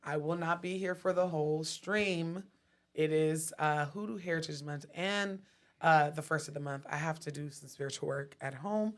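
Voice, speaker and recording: Laid-back deep-voiced woman. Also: moderate pace. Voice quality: fairly deep voice. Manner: laid-back, laughing, talks straight to viewers. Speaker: co-host. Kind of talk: casual call-in show chat.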